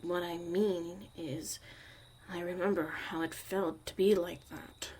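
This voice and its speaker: A woman playing a jaded, blunt young witch mother in a soft, sardonic ASMR roleplay about surviving the apocalypse. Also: lowish voice, steady tone, slow pace, husky. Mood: wistful, resentful. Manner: sardonic, casual, swearing, dismissive.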